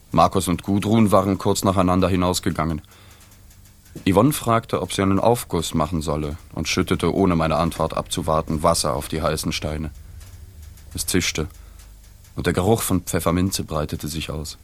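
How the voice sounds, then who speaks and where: An actor playing a reserved, observant male journalist in a literary radio drama, speaking in a plain, measured, restrained way. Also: deep voice, natural voice. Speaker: narrator.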